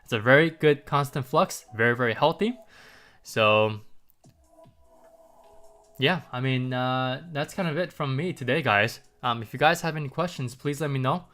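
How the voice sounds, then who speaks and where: A man's voice: medium pitch, moderate pace, bright, forceful tone, faint music behind. A man hosting a market livestream, talking loosely to viewers with lots of "um" and "right?", his voice a little stuffy from congestion. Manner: loose and chatty, many ums and rhetorical "right?", light chuckles. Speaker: market commentary livestream host. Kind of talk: casual livestream talk to viewers.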